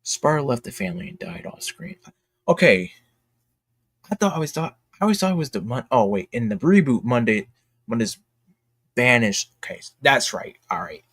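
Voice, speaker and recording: A man with a medium-pitched voice speaks in a casual, opinionated streaming style. His delivery is blunt and emphatic, circling back to repeat phrases as he goes. The sound is close and dry, with hardly any room in it. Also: sing-song, normal speed, vocal fry, fairly bright tone, husky, no room sound. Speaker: streamer and commentator. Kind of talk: casual opinionated livestream commentary.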